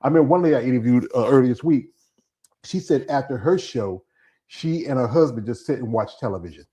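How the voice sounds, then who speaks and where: A man's voice: medium pitch, expressive, a little fast, dark tone. Rambling male interview host. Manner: rambling, many "you know" fillers. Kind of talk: casual interview chat.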